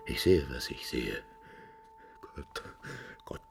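A man's voice: a bass voice, expressive, slow pace, gravelly, a little hiss or hum, exaggerated voice. An actor playing a proud, anguished middle-aged father in a 1950s German radio drama, stern and theatrical, bitterly holding back rage. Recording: vintage 1950s radio recording.

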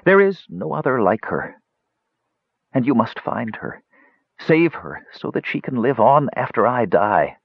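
A man playing a desperate, stubborn old wizard in an audiobook, speaking slowly and urgently in a strained, labored voice. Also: mid-range voice, very animated, vocal fry, breathy edge, no room sound, dull audio, voice pushed up, exaggerated voice. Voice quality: strained, strangled.

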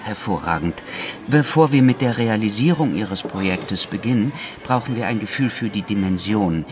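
A man's voice: on the low side, animated, normal speed, background noise, natural voice. An actor playing an efficient, polite fixer in a radio drama, attentive and businesslike as he questions a client. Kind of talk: modern radio drama acting.

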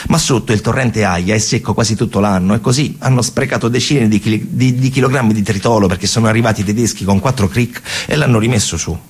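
A man's voice: on the low side, fast talker, hardly any pauses, husky. A man performing a theatrical narrative monologue, telling the story emphatically in short, colloquial, repeated phrases. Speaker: stage actor and narrator.

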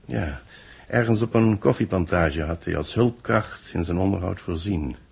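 An actor playing a grave space research official in a 1960s radio drama, explaining things in a measured, formal way. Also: male voice, sits low, vocal fry, slightly rough, heavily acted. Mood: earnest, explaining.

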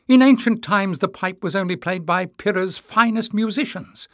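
A man voicing a proud, bitter mother in an audiobook, high-pitched, accusing and imperious. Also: drawn-out words, bright, forceful tone, no echo, studio quality, exaggerated voice. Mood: indignant. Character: Tyrrell, proud bitter mother, piercing and indignant. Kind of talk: acted audiobook dialogue.

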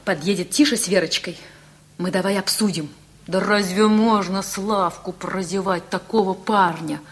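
A woman's voice: mid-range voice, fairly even intonation, normal speed, lightly acted. A woman playing a fussy, meddling older female relative in a Russian radio play, chatty and full of exclamations. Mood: meddling, alarmed.

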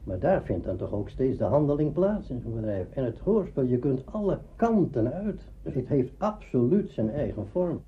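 A man's voice: medium pitch, very animated, normal speed, hardly any pauses. A man talking spontaneously and emphatically in an interview. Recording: noisy phone-like archival recording.

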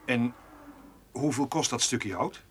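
An actor playing a brisk, sceptical farmer in a radio play, dry, colloquial and teasing. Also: male voice, medium pitch, husky. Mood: impressed. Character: Hidde Govers, brisk, sceptical male farmer. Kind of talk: naturalistic radio play dialogue.

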